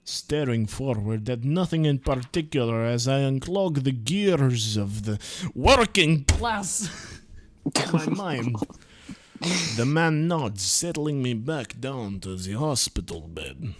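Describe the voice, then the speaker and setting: A man's voice: mid-range voice, slow, a little hiss or hum. Man hamming up a scornful Soviet-loving Russian. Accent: mock Russian. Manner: theatrical, hammy, deadpan grumbling. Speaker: player reading a visual novel aloud. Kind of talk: comic read-through with friends.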